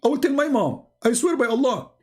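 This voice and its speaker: Man putting on a shrill young girl. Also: very animated, fairly quick, darkish tone, husky, exaggerated voice. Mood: whiny. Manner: squeaky, whiny protests.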